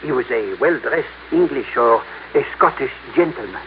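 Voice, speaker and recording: An actor playing a courteous French candy shop clerk with a formal, precise manner in a radio drama. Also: male voice, medium pitch, lively intonation, normal speed, close to an everyday voice. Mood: politely helpful. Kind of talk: old-time radio drama acting. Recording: tinny, noisy old radio recording.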